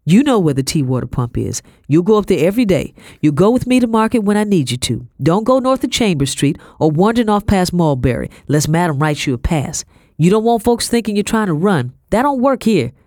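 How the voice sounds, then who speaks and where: A woman voicing a bossy, fast-talking middle-aged housekeeper with rustic grammar in an audiobook. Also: on the low side, big pitch swings, darkish tone, no room sound, studio quality, voice pushed up. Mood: scolding. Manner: brisk, scolding, rustic grammar. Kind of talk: audiobook character voice.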